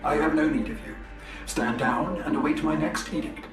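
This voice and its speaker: A haughty male alien lord from a video game, acted by a man, with a notably high voice. He speaks in formal, imperious commands. The sound is echoey and slightly distant, with music behind. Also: normal speed, close to an everyday voice. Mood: cold, dismissive. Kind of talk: scripted video game voice acting. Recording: echoey, set back from mic, music behind.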